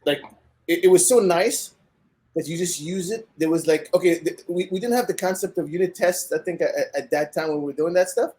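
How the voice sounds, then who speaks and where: A man's voice: high-pitched, fairly quick, warm tone. A man from Kenya, a guest on a podcast, speaking casually and hesitantly with many fillers. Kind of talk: casual conversation.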